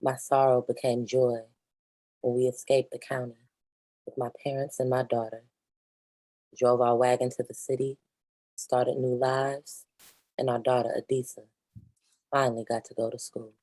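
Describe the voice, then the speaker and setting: Woman performing grieving ancestral mother, resilient, grave stage monologue, extremely low voice, dry recording. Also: little pitch movement, moderate pace, pauses often, warm tone, no room sound, low-quality recording. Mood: grave, resolute. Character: grieving yet resilient ancestor spirit, sharecropper wife and mother. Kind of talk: staged play reading, monologue.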